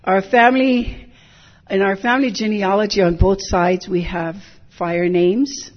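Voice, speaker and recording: An older woman from Hilo, giving a measured, reflective talk as a hula teacher, often drifting into digressions. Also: medium pitch, steady tone. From Hilo, Hawaii. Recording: dull lo-fi recording.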